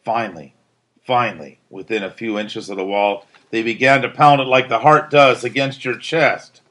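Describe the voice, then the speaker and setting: A man's voice: medium pitch, fairly even intonation, moderate pace, a bit bright. A man reading a narrative aloud as a teacher would, in a dramatic, emphatic storytelling style. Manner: theatrical, emphatic delivery.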